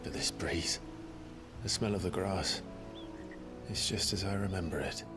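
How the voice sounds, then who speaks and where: A British man playing a brooding, earnest young fugitive swordsman in a video game, soft-spoken, restrained and courteous. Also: low-pitched, measured pace. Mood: subdued, confessional. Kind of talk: dramatic video game acting. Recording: cinematic music behind the voice.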